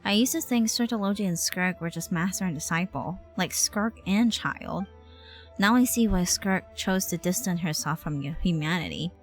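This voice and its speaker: A woman with a slightly low voice comments casually on a game she is streaming. She thinks out loud in an informal way, with faint music behind her. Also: normal speed. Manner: musing aloud, informal. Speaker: game livestreamer. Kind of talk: casual live reaction commentary.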